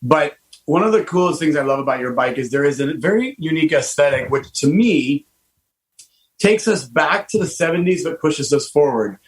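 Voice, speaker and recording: A man in his fifties hosts a casual talk radio chat with an animated, enthusiastic delivery. He stops and restarts often, and his voice sits slightly higher than most men's. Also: moderate pace, low-quality recording. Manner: enthusiastic and animated, many fillers. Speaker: host, car designer.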